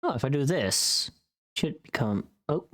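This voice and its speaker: A woman's voice: deep voice, lively intonation, moderate pace. A woman chatting casually on a livestream in a playful, teasing manner, swearing now and then. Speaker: streamer.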